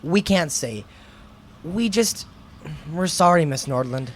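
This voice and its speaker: A woman performs a conscientious, somewhat muddled school-age kid in radio drama. The voice is low, wary and plain-spoken, often hesitant. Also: expressive, slow, exaggerated voice.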